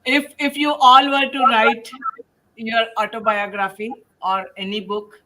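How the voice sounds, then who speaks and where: A woman hosting an informal panel, speaking in a halting way and often breaking toward a laugh. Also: a little high, steady tone, pushed and bright, a little hiss or hum, low-quality recording. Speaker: podcast host and panel moderator.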